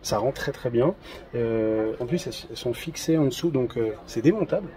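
A man in his thirties presenting trophies outdoors in a garden, in a relaxed, hesitant casual chat with many fillers. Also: mid-range voice, brisk, crowd noise. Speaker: event organizer presenting trophies.

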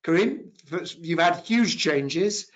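A man's voice: a little high, expressive, takes it slowly. A man in his fifties moderating a business panel discussion over a video call. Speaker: webinar moderator, business professor. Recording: dull video-call audio.

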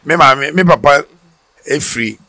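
A middle-aged Ghanaian man with a Ghanaian accent, a former footballer telling his story in a relaxed way, with restarts, in a casual outdoor interview on a headset mic. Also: on the high side, moderate pace, dry recording. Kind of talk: casual interview in Twi mixed with English. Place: outdoor porch by brick wall.

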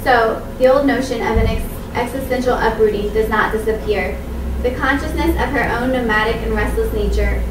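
A woman reads a text aloud at a seminar table in a measured, careful way, stumbling now and then. Her voice sits a little high. She is picked up from a distance, with the room echoing around her. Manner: measured, careful reading with small restarts. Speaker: conference speaker reading excerpts. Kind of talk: reading a translated text aloud. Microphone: far room mic. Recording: echoey room, far from the mic, background noise.

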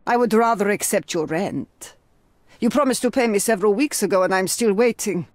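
A woman playing an elderly landlady in a video game, sharp-tongued and nosy, given to sarcasm and endearments. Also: mid-range voice, fairly quick, no echo, close to an everyday voice. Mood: fond scolding. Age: older. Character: sharp-tongued, nosy old female landlady with soft spot. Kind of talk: dramatic video game cutscene acting.

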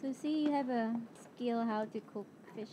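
A woman's voice: fairly high pitch, normal speed, background noise. A woman chatting casually, giving short curious questions and reactions. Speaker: vlogger behind the camera. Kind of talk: casual chat. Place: on a catamaran deck outdoors.

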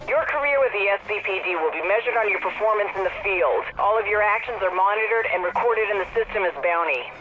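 Through a dull radio filter comes a high male voice, a police command officer in a racing game. He briefs in formal, clipped phrases with steady authority and an instructional delivery. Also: quick pace. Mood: formal, authoritative. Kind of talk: scripted briefing narration. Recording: dull, band-limited radio-style sound.

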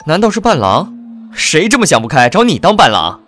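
A man's voice: on the high side, huge pitch swings, a little fast, a bit bright, soft background music. An actor playing an astonished, nosy young man who questions bluntly in an audiobook drama. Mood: astonished, teasing. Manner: incredulous, questioning.

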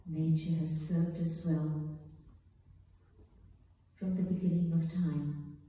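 Very slow, mellow woman in an echoing hall. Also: sits low, level tone. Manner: hushed, deliberate, with long pauses between short phrases. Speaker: spiritual teacher leading a guided meditation. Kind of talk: guided meditation spoken to a gathering. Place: large church interior at a live event. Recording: echoey church hall, distant microphone.

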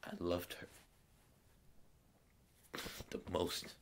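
A teenage male performer playing a grieving, bitter ex-convict father in a halting monologue. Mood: tender, sorrowful. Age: teenager playing older. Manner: halting, hushed delivery that strains into bursts.

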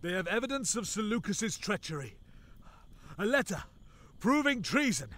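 A man's voice: sits high, big pitch swings, normal speed, fairly bright tone. A man playing an urgent, loyal soldier bringing word, out of breath, in an audiobook. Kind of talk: dramatised audiobook dialogue.

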